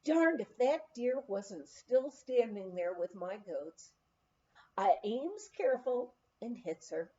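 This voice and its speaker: A woman reading aloud as an excitable rural mountain man telling a folksy hunting story. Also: very high voice, slow, lo-fi sound, exaggerated voice. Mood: excited, folksy.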